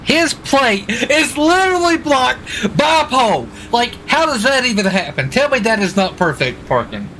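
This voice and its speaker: A man's voice: very high-pitched, sing-song, moderate pace, few pauses, sharp tone, slight background noise, rough recording. A man in casual roleplay game chat, talking informally with folksy exclamations. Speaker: gamer roleplaying a US marshal on stakeout.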